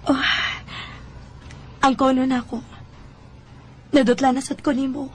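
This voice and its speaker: High, unhurried young Cebuano woman. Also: level tone, slightly rough. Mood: tender. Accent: Cebuano Filipino. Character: Jeneline, shy trusting young working woman in love. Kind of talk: Bisaya radio drama acting.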